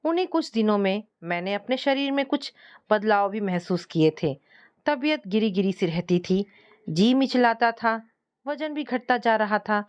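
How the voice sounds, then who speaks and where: Woman reading a first person family story, scripted narration, medium pitch. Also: animated, unhurried, clean tone, dull audio.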